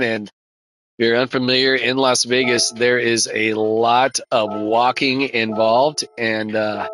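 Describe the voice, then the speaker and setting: A man's voice: mid-range voice, poor audio. A middle-aged man, tired and losing his voice, chatting casually and rambling while he races, saying "man" a lot. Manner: laid-back, rambling, says "man" a lot. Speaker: sim racing streamer. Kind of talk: casual chat while racing. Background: loud music.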